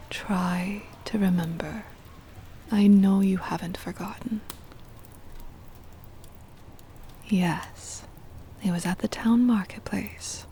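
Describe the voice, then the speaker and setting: An ancient female vampire mistress, played by a woman speaks in a low, slow, intimate whisper with a creaky edge. She is possessive and doting, with formal, old-fashioned phrasing in an ASMR roleplay style. Faint noise sits behind the voice. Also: dark tone. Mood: soothing, coaxing. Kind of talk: intimate ASMR roleplay, scripted dramatic monologue.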